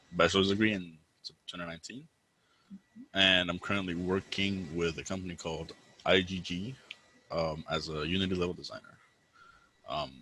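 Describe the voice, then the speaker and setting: A man's voice: deep voice, sharp tone, no echo, rough recording. A young man introducing himself on an online panel over video call, hesitant and searching for words. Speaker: alumni panelist. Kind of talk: casual self-introduction.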